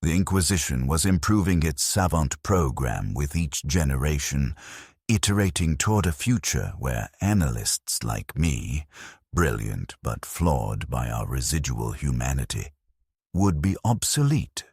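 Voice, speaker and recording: Man, extremely deep voice, lively intonation, somber measured storytelling, dry close sound. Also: slightly rough, no echo. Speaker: narrator. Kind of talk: scripted first-person story narration.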